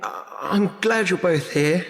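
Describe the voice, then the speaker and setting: Radio drama: male actor as hesitant, formal boss, notably high voice, very echoey space. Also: a little slow, fairly bright tone. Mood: grave, apologetic. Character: apologetic, formal museum manager breaking bad news. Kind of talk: radio play acting. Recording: echoey hall with long reverb.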